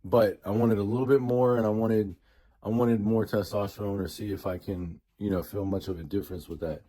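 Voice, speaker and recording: A man's voice: lowish voice, steady tone, a little fast, mellow. A man in his late thirties giving a casual, rambling product review as a vlogger, often repeating and rephrasing himself. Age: late 30s. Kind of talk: casual talk to camera.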